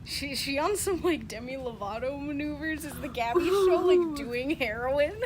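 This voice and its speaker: A woman's voice: high voice, lively intonation, normal speed, a crowd in the background. A young woman casually critiquing and reading poems among friends, blunt, sweary and sarcastic. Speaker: amateur poetry critic. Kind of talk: casual mocking commentary and dramatic poem reading among friends. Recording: noisy informal group recording.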